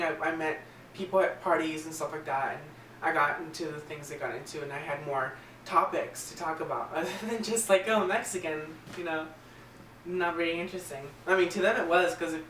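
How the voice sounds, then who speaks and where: A young man from the US South with an American accent, talking informally and a little haltingly about himself in a candid documentary interview. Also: on the high side, a bit bright. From US South, Virginia and North Carolina. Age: young adult. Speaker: interviewee, model. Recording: distant roomy mic, faint noise.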